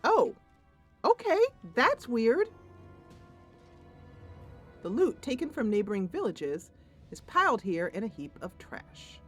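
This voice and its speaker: Slow-paced enthusiastic woman gaming streamer. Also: fairly high pitch, big pitch swings, slightly rough, faint music behind. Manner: enthusiastic, thinking aloud. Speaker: streamer playing a fantasy roleplaying computer game. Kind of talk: gameplay commentary mixed with dramatic narration.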